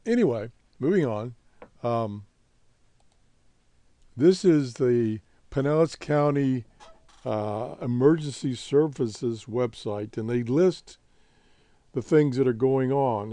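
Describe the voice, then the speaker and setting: Male hobby-show host, American, unhurried and halting, relaxed soft-edged tone, dry close sound. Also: mid-range voice, no room sound. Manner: halting, laid-back, rambling. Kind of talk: casual unscripted update.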